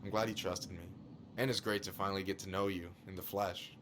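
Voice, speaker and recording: An actor playing a friendly, open-hearted young man, talking warmly and casually in a naturalistic video game style. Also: low voice, little pitch movement, brisk, slight background noise. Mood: warm, earnest. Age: young adult. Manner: warm, casual, earnest.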